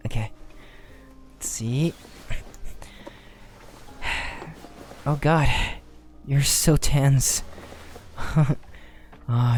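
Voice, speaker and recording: A shy young werewolf boyfriend, acted by a man, speaks softly in a mellow, relaxed voice. He takes his time, hesitant and affectionate, in an intimate ASMR roleplay with music playing behind him. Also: medium pitch, lightly acted. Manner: hesitant, affectionate, soft-spoken, often chuckling. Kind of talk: soft romantic ASMR roleplay.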